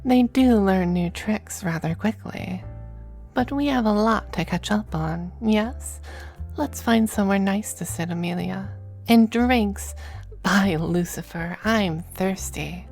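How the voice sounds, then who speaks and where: A woman voicing a suave, possessive demon femboy in an ASMR roleplay, with genteel, teasing endearments and a breathy voice that dips into whispers. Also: medium pitch, takes it slowly, a little mellow, faint music behind. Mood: delighted, proud. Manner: genteel, languid, commanding endearments.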